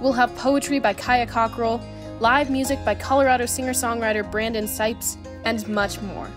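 An earnest woman reads a scripted event promo. Her voice sits fairly high, with music clearly playing behind her. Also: fairly even intonation, moderate pace. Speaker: event announcer.

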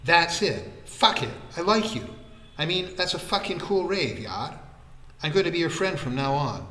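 A man voicing an exuberant, warm-hearted young man in an audiobook, excitable and slangy. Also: medium pitch, moderate pace, reverberant, big-room sound, natural voice, low-quality recording. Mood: effusive, delighted.

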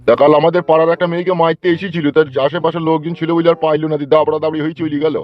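A man doing a fast-talking quarrelsome village gossip, dull sound. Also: on the high side, level tone, lightly acted. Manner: teasing, argumentative, slangy. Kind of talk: Bangla comedy cartoon dialogue. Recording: dull lo-fi sound over music.

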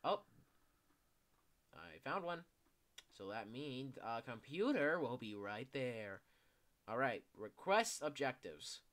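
A young man giving casual, excitable commentary while playing a video game, swearing often and yelling when chased. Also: mid-range voice, slow, pauses often. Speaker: gameplay commentator. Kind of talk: casual live gameplay commentary.

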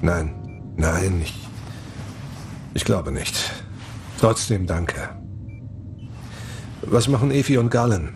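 A man's voice: low voice, lively intonation, takes it slowly, pauses often, background noise, heavily acted. An actor playing a brooding, earnest young male spaceship captain in a sci-fi audio drama. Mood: subdued. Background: music.

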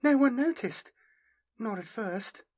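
A man's voice: extremely high pitch, expressive, slow pace, husky, pitched above the performer's own voice, full character voice. A man voicing a distressed, defiant young woman refugee in an audiobook, speaking urgently in clipped phrases. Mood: subdued, explaining.

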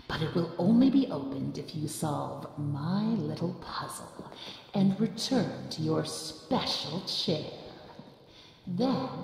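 An actress voicing a sadistic, sickly-sweet female cartoon angel villain with a gravelly edge, in an echoing hall. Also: fairly low pitch, darkish tone, slight background noise, full character voice. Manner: sickly-sweet, mocking, calls the listener honey. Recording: echoey reverberant hall, faint ambience.

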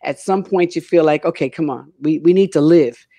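A woman's voice: deep voice, a little fast, mellow, no echo. An older woman hosting a casual panel chat on a video call from home, speaking emphatically and repeating phrases for stress. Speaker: talk show host.

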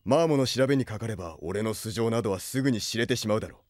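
An actor playing a grave, lordly exiled prince who leads a rebel army, speaking in solemn, measured lines in an anime audio drama. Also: male voice, on the low side, darkish tone, dry recording, clean studio sound, natural voice. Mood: somber.

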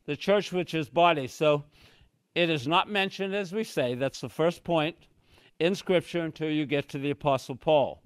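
A man's voice: fairly high pitch, moderate pace, no echo. An older man giving an expository Bible lesson in a small church room, speaking from a podium mic and often stepping away from it, emphatic and repeating key phrases. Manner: emphatic, repeats "so very clearly", gestures with both hands. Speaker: Bible teacher. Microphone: podium mic, walks away from it.

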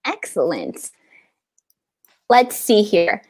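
A woman's voice: mid-range voice, huge pitch swings, very slow, breathy edge. A woman in her thirties from New York City, chatty and effusive, hosting a casual interview over a video call and reading out audience questions. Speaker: library event host, interviewer.

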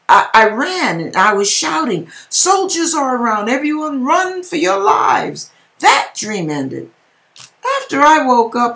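A woman's voice: medium pitch, fairly bright tone. A middle-aged American woman giving a theatrical, emphatic dramatic reading with casual asides, recorded on a webcam in a home kitchen. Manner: theatrical, emphatic, drawn-out delivery. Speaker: host reading viewers' dream accounts. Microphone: webcam at desk distance.

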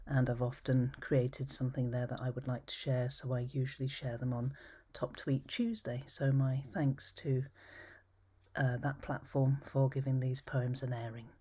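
A woman reading her own poems at home, measured and thoughtful, often trailing into a whisper. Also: rumbling low, steady tone, warm tone, breathy edge. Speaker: poet. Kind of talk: poetry reading. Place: home study with bookshelves, indoors.

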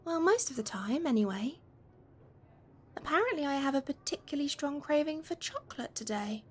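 A woman playing a playful, affectionate young best friend in a gentle, teasing ASMR roleplay. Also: high voice, expressive, normal speed, slight background noise. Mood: warm, enthusiastic. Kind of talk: gentle ASMR roleplay, one-sided conversation.

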